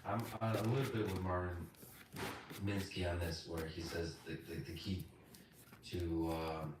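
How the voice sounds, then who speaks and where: Male host, halting and self-critical, echoey distant recording with loud noise. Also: deep voice, flat delivery. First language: Russian. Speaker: podcast host. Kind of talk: casual conversation.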